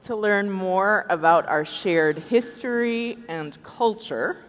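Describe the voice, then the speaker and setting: A woman's voice: mid-range voice, takes it slowly. A woman co-hosting a live stage show with scripted banter, recorded in a large echoey hall. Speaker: podcast co-host. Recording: echoey hall, music behind.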